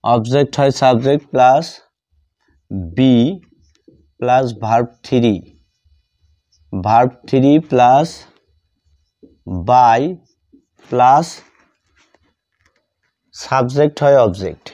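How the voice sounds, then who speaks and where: Slow, dark-voiced Bengali man teaching. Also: mid-range voice, highs cut off. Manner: deliberate, repetitive, spelling out each phrase. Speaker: English grammar teacher. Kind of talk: grammar lesson, dictating while writing.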